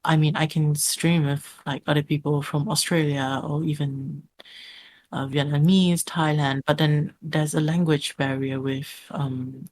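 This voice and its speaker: A woman streamer from New Zealand with a New Zealand accent touched by Singaporean speech, chatting as an interview guest in a relaxed way full of "like". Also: sits low, unhurried, slight background noise. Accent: New Zealand with Singaporean traces. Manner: relaxed, uses "like" often. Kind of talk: casual interview chat.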